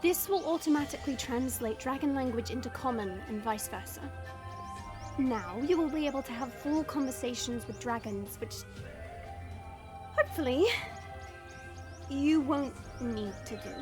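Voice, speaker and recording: A woman's voice: high voice, normal speed, pauses often, over music, lightly acted. A woman voicing a proud female dragon who talks down to others in formal, condescending words. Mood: solemn, instructing. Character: haughty female dragon guide, proud of her kind.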